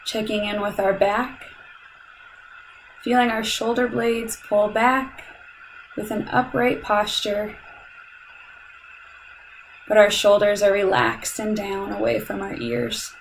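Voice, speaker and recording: A woman guides a meditation at a very slow pace, with a medium-pitched voice. Her delivery is calm and soothing, giving measured instructions with long pauses. A light layer of background noise sits behind her. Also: rough recording.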